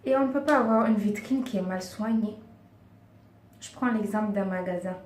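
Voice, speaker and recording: A woman's voice: medium pitch, normal speed, darkish tone. A woman giving earnest, coaxing beauty advice in a casual vlog, speaking a second language and sometimes searching for words. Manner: earnest, coaxing, often addresses her viewers as girls. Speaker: beauty and lifestyle vlogger. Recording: roomy echo, distant mic, faint background hiss.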